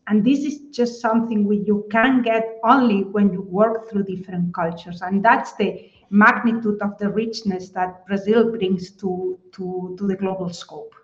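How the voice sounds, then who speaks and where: A woman with a Spanish accent speaking as a panelist in an online discussion, thoughtful, with many small restarts. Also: mid-range voice. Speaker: webinar panelist. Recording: echoey dull webinar audio.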